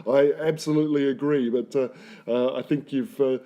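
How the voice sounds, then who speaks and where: A man hosting an interview, summing up his guest's answers in a measured, encouraging way. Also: mid-range voice, fairly even intonation, mellow. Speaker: interviewer host.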